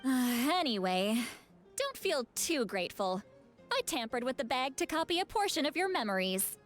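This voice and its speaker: A woman voicing a feisty, proud grandmother in a video game, brash, scolding and teasing with gruff affection. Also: high-pitched, big pitch swings, moderate pace, soft background music. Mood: smug.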